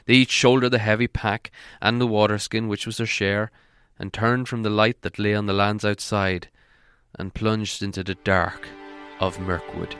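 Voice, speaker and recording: A man's voice: on the low side, little pitch movement, moderate pace, a bit bright, smooth, soft background music. A man reading a storybook aloud as an audiobook narrator, in scripted narration. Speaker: audiobook reader.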